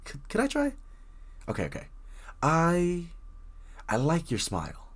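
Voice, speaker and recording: A man's voice: fairly high pitch, wildly swooping pitch, measured pace, heavily acted. A man playing a very shy, bookish young stranger in an intimate roleplay audio drama, stammering, rambling and apologising nervously as he addresses the listener. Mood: shy, warming up.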